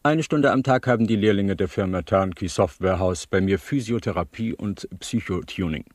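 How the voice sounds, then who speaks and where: A man's voice: sits low, normal speed, no echo. An actor playing a pedantic, disapproving male vocational teacher in a satirical radio play, precise and didactic. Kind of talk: satirical radio drama acting as an interviewee.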